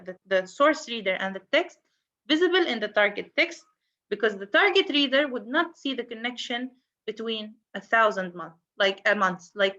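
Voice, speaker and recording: Woman, Arabic accent, translation lecturer, bright tense voice, muffled lo-fi sound. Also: on the high side. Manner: explanatory, repeats and restarts words, switches between English and Arabic. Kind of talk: university lecture.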